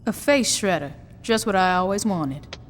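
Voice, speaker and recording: A woman's voice: medium pitch, animated, moderate pace, big-room sound, a little hiss or hum, close to an everyday voice. A woman voicing a blunt, tough gang boss. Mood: dry. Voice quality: blunt, sarcastic. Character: tough, foul-mouthed female gang boss. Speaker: gang leader player character. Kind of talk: video game cutscene acting.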